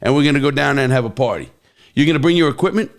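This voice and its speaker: A man's voice: mid-range voice, expressive, racing. A man from New York with a New York accent, hosting a casual podcast chat, who cuts in, ribs his guest and laughs. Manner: interrupting, ribbing and laughing. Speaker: podcast host.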